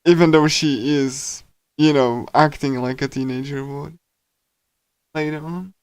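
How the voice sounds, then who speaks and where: A man livestreaming in a casual, rambling chat style, speaking haltingly with lots of filler words. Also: fairly high pitch, fairly even intonation, no room sound. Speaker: livestreamer reading a visual novel.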